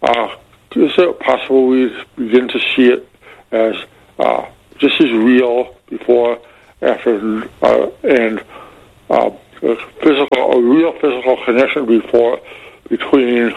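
A man calling a radio show, halting and hesitant as he searches for words, with effortful articulation from a speech impediment. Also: mid-range voice, steady tone, creaky. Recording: slightly dull, band-limited radio call.

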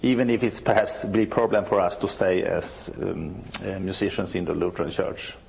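A Swedish man in his sixties from the north of the country, a church musician being interviewed, speaking halting English and often restarting to search for words. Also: deep voice, creaky, darkish tone, a little hiss or hum, low-quality recording. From northern Sweden.

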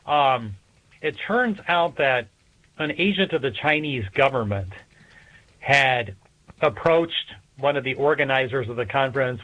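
A man speaking as an expert guest in an interview, explaining in a measured, hesitant way with pauses between phrases. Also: mid-range voice, a bit bright. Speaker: guest expert, political science professor. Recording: dull lo-fi remote radio connection.

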